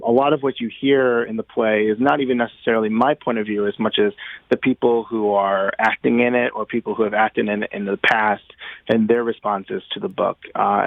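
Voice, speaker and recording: A man being interviewed on the radio, talking conversationally and thoughtfully, halting as he searches for words. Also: on the low side, creaky, no echo. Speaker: interview guest, playwright. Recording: narrow, phone-like line.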